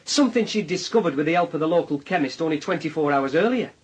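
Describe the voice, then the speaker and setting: A sardonic detective in a radio drama. His husky voice sits high and swings widely as he needles and taunts, leading his suspect along. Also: animated, quick pace. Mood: sardonic, taunting. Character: insinuating police detective, needling a young suspect.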